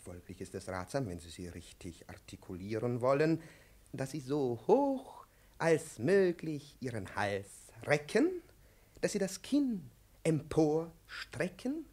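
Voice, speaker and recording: An actor playing a pompous, excitable, domineering professor lecturing a pupil in a 1950s radio play, pedantic and declamatory. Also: male voice, mid-range voice, takes it slowly. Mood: excited declaiming. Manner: pedantic, declamatory, swinging from hushed to shouting.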